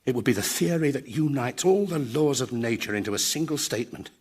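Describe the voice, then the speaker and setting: An earnest, excitable academic in a British radio sitcom. His voice is husky and swings widely in pitch. He is pedantic, touchy and quickly flustered. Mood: indignant, earnest. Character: earnest, excitable, touchy dead physics professor.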